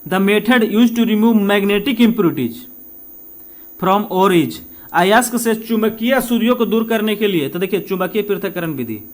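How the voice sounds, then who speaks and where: High-pitched man teaching exam questions. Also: measured pace. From India. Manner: conversational, asks and answers own questions, switches between Hindi and English. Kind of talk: informal lesson working through practice questions.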